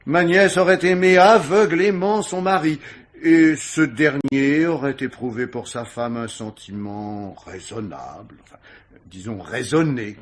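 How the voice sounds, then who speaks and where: An actor playing an urbane, witty, self-absorbed older gentleman of leisure in a radio mystery, speaking with mannered, ironic asides. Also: mid-range voice, unhurried, natural voice. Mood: philosophical, cynical. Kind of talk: vintage French radio mystery acting.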